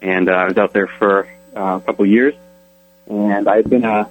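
A young man from Colorado answering interview questions in an easygoing, relaxed way. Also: lowish voice, a little fast, soft background music, rough recording. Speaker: interview guest.

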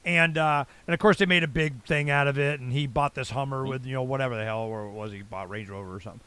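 A man's voice: medium pitch, quick pace, sharp tone, clear, resonant tone. An American man around sixty hosting a casual interview, rambling and blunt with salty slang. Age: late 50s to early 60s. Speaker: talk show host.

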